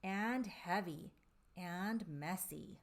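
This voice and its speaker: A woman reading a children's picture book aloud expressively, with theatrical drawn-out emphasis and dramatic pauses. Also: fairly low pitch, creaky. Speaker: storybook reader for children.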